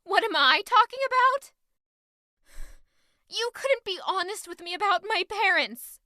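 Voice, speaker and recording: A woman's voice: very high-pitched, lively intonation, unhurried, bright, forceful tone, dry recording, studio quality, full character voice. A woman acting a hurt, stubborn young woman in an audio drama, with an intense, emotional delivery. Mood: angry, accusing.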